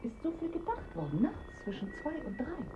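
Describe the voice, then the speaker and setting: An actress playing a brooding woman who muses in riddling phrases, with a stylised, expressive radio drama delivery. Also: sits high, normal speed, breathy edge, muffled recording, heavily acted. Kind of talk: poetic radio drama recitation.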